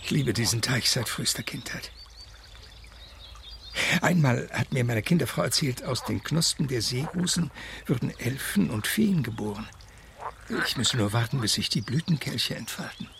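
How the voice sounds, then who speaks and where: Creaky-voiced, wistful aging gentleman. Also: medium pitch, lively intonation, normal speed, slight background noise. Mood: nostalgic. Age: middle-aged. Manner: cultivated, reminiscing, wordy. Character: wistful, refined ailing English gentleman in his late fifties. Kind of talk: German radio play acting.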